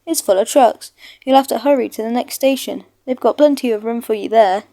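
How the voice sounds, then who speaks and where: A woman's voice: fairly high pitch, brisk, warm tone, no room sound, close to an everyday voice. A woman voicing a brusque, bossy male railway signalman in a children's storybook, curt and firm.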